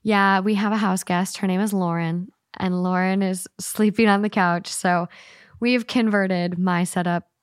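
A woman's voice: medium pitch, fairly even intonation, measured pace, dry recording. Woman co-hosting a podcast, dry sound. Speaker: podcast co-host. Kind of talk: casual chat, reading listener letters.

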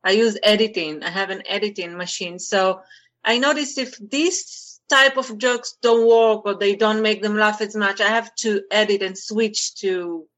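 A woman's voice: medium pitch, measured pace. A woman from Israel with an Israeli accent, a stand-up comedian chatting in an interview, laughing often and joking about herself. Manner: laughs often, tells jokes in a relaxed, self-mocking way. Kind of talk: casual interview chat.

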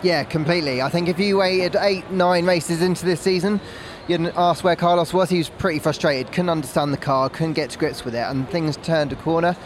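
A man giving conversational motor racing analysis as a pundit, speaking outdoors in a paddock. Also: a little high. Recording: faint outdoor background noise.

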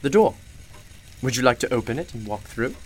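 An actor playing a mysterious, wise shopkeeper in a radio play, speaking in a formal, measured and courteous way. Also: male voice, mid-range voice, animated, slightly rough. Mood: gently encouraging. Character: mysterious, patient, wise male shopkeeper of a magical shop. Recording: loud music behind the voice.

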